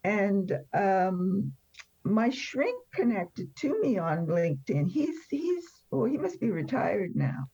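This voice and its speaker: Older woman, husky and candid, dull sound. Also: mid-range voice, big pitch swings, slow, dark tone. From born in France. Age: 70s. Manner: candid, wry, emphatic. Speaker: interview guest telling a recovery story. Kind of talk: conversational personal storytelling.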